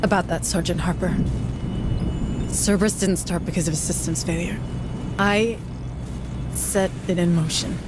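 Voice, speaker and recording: A woman's voice: on the low side, steady tone, normal speed, pauses often, over loud music, lightly acted. A woman playing an earnest, guarded colony administrator in a video game, speaking formally and with resolve. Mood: resolute. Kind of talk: video game cutscene acting. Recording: clear vehicle hum behind voice.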